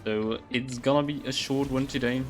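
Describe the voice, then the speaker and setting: A man's voice: mid-range voice, steady tone, normal speed, faint music behind. A man giving casual, rambling live gameplay commentary as a game streamer, easily impressed by what happens.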